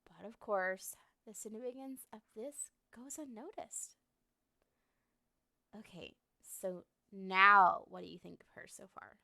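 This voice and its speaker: A woman's voice: mid-range voice, slow, creaky, pushed and bright, no room sound, heavily acted. A woman playing a lovestruck, obsessive young woman in an ASMR roleplay, talking to the listener in a coy, rambling, hesitant way. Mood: insistent, defensive.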